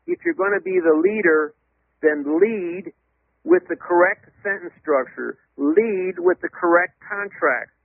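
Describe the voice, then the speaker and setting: A man calling in as a radio guest, lecturing emphatically in a loud voice and spelling out words letter by letter. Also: on the high side, dry recording. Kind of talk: radio call-in interview. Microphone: telephone. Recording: tinny phone line.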